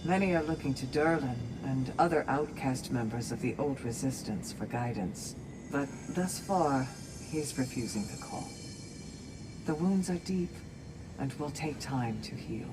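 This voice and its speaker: An actress playing a composed, earnest female warrior in a video game, speaking in a measured, solemn, articulate way. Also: rumbling low, animated, noisy background. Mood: sober. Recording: distant from mic, music behind.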